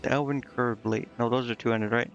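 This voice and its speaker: Gravelly-voiced man thinking aloud while gaming. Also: mid-range voice, fairly even intonation, a little fast, lo-fi sound. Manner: indecisive muttering, talking to himself. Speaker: game streamer. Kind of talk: casual solo gameplay commentary, thinking aloud. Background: game music.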